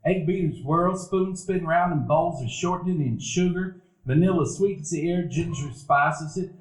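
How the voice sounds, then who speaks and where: A man narrating a live stage radio play in a warm, reminiscing storytelling manner. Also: fairly high pitch, a little slow. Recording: echoey room, live audience.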